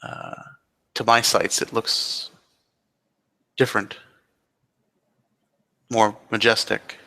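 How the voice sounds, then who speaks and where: A man playing a brooding, preoccupied, wistful character in improvised roleplay, musing in halting phrases. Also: lowish voice, flat delivery, bright, forceful tone, heavily acted.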